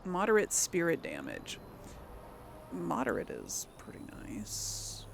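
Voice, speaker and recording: A woman's voice: fairly low pitch, wildly swooping pitch, slow pace, gravelly, background noise. A woman giving casual gaming commentary, thinking aloud in a hesitant, musing way and often trailing off. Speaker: let's player hosting a role-play playthrough.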